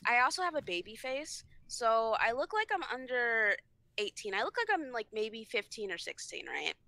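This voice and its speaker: A young woman with a high-pitched voice, a guest on a casual podcast, telling an emphatic story. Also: big pitch swings, normal speed, gravelly, bright, forceful tone, dry recording. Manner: emphatic, swears, tells stories with quoted dialogue.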